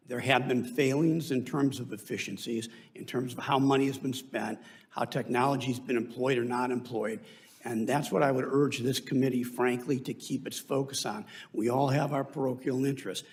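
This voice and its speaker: A man with an average-pitched voice testifies before a committee. His delivery is formal and measured, and he leans on key phrases for emphasis. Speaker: industry association witness testifying at a hearing. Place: indoor committee hearing room.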